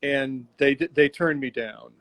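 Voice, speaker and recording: A man's voice: mid-range voice, unhurried, creaky, a bit bright. Rambling older man on a video call. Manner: rambling, repeats words and restarts. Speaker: bootmaker and shop owner. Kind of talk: casual interview chat. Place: home workshop.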